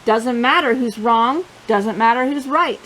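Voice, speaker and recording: A woman hosting storytime for young children, reading and chatting in simple, expressive phrases. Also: a little high, measured pace, a little hiss or hum, poor audio.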